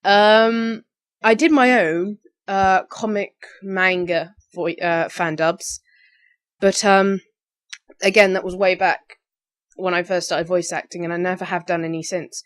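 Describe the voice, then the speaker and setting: A woman's voice: lowish voice, fairly even intonation, unhurried. A young adult woman, slightly tired, talking in a rambling, informal way as she answers questions about her hobby. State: slightly tired, recently woken. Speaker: amateur voice actor. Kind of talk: casual question-and-answer vlog.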